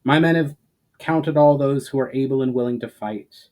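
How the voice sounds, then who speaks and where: A man's voice: mid-range voice, normal speed, a little mellow, lightly acted. Man voicing a sober army commander. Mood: grave report. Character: sober male military commander reporting troop strength.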